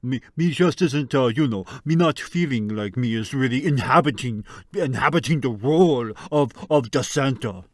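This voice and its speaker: A man puts on a goofy, bumbling would-be Santa for a radio comedy skit. He rambles and stammers in broken grammar at a middling male pitch. The sound is dry, with no room around it. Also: lively intonation, moderate pace, hardly any pauses, dry recording, close to an everyday voice. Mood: earnest, rambling. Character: bumbling, talkative odd male character playing mall Santa. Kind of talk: comic radio skit dialogue.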